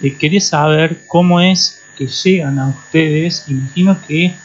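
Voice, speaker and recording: A man's voice: fairly high pitch, measured pace, slightly rough. A man journalist, politely asking a guest a long, winding question on the radio. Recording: muffled radio recording with mains hum.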